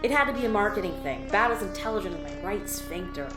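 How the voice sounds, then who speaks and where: A woman's voice: medium pitch, sing-song, fast talker, roomy sound, music in the background, close to an everyday voice. A woman playing a frazzled alien office worker, exasperated and sarcastic. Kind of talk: audio drama.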